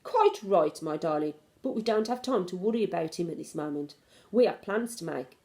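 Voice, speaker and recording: A woman's voice: lowish voice, lively intonation, normal speed, mellow. A woman voicing a shrewd, affectionate old grandmother in a storybook, with an emphatic, doting manner. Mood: brisk, affectionate.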